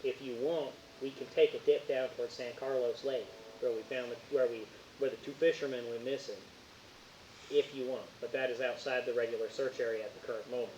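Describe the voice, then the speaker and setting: A man's voice: mid-range voice, fairly even intonation, fairly quick, a little mellow, lightly acted. A man voicing a stern, territorial lawman with an exaggerated Southern drawl and a folksy, bossy delivery in a tabletop game. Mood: businesslike. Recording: dull roomy audio with faint hiss.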